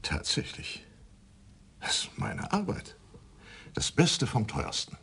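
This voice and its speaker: An actor playing a smug, greedy society dentist who speaks in a dry, sardonic, drawn-out way. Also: male voice, medium pitch, huge pitch swings, fairly bright tone, breathy edge, full character voice. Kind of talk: radio drama acting.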